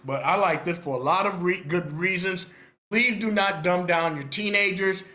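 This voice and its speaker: A man's voice: high voice, normal speed, pushed and bright. A man in his sixties giving casual, opinionated and direct spoken feedback as a script reviewer. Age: 60s.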